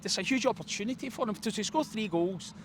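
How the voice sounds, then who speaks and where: A man with a slightly high voice talks very quickly in a fan's post-match chat. He is effusive and opinionated, running on at length. He is recorded outdoors with faint urban noise around him. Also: animated. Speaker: football fan pundit. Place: outdoors outside a football stadium. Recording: outdoor street noise behind.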